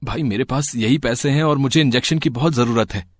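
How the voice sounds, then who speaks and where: A man's voice: medium pitch, a little fast, dry recording, clean studio sound, close to an everyday voice. A man playing a poor, desperate husband who pleads, in an amateur moral story.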